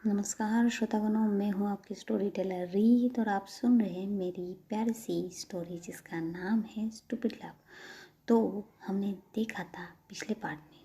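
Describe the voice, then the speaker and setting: A female storyteller narrates in a soft, often whispered voice. Her tone is very dark and mellow, with a gravelly, creaky texture. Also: medium pitch.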